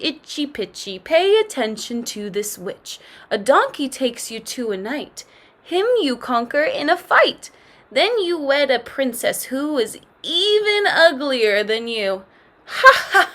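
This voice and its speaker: Lady doing a gleeful witch for a kids story, high voice, singsong chanting, draws words out. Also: bright, forceful tone, exaggerated voice. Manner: singsong crooning, drawn-out chanting. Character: crooning, gloating old swamp witch casting rhyming fortunes.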